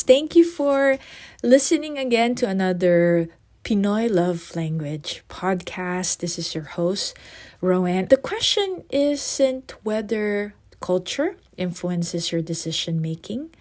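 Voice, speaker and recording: A woman's voice: mid-range voice, a little mellow. A middle-aged woman from the Philippines with a Filipino accent, hosting a podcast and explaining ideas in a friendly teaching tone. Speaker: podcast host and psychotherapist. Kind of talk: casual podcast talk.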